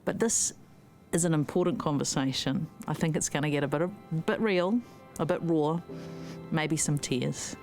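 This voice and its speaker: A woman hosting an interview, candid and gentle in her questions. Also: deep voice, normal speed, soft background music. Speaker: interview host.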